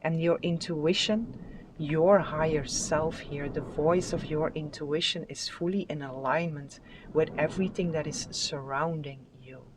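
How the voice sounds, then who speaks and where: A tarot card reader giving a spiritual reading with affirmations, in a soft, soothing and unhurried voice that sometimes drops to a whisper. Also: mid-range voice, fairly even intonation, noisy background. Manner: soft, soothing, unhurried delivery with drawn-out phrases.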